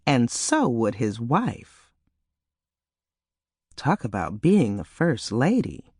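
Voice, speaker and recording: A woman narrating an audiobook novel in an expressive, measured storytelling manner. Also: a bass voice, slightly rough, dry recording, studio quality. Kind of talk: dramatic scripted fiction narration.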